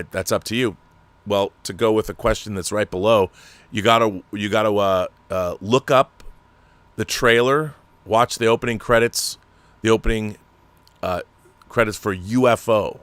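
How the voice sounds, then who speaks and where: A man's voice: on the low side, normal speed, a bit bright, no echo. A man hosting his channel and reading viewer letters, ranting with exasperation and repeating words as he talks off the cuff. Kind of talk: unscripted commentary.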